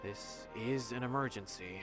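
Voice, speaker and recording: A man voicing an earnest, cautious young investigator in a video game, speaking in a measured, articulate way. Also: mid-range voice, music in the background, lightly acted. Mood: reluctant, concerned.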